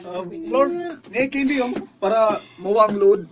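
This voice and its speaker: High-voiced, awkward teenage boy. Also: normal speed, lo-fi sound, a little hiss or hum. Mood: friendly, casual. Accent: Cebuano. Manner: hesitant, boyish. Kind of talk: Bisaya radio drama acting.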